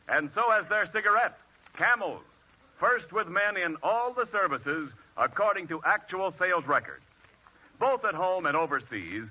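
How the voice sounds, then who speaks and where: A male American announcer reads in a 1940s advertising style. His voice is fairly high, very bright and slightly husky, with a declamatory, emphatic delivery. It sounds like a vintage radio broadcast. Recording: lo-fi, muffled 1940s radio recording.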